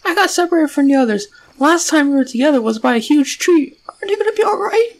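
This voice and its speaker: A man voicing small, excitable creatures and children while reading game dialogue. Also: extremely high pitch, quick pace, slight background noise, voice pushed up. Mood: squeaky, eager.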